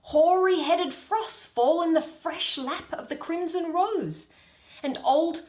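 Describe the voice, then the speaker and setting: A woman voicing a proud, indignant fairy queen in measured, declamatory Shakespearean verse. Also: very high voice, darkish tone, echoey room, pitched above the performer's own voice. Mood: aggrieved.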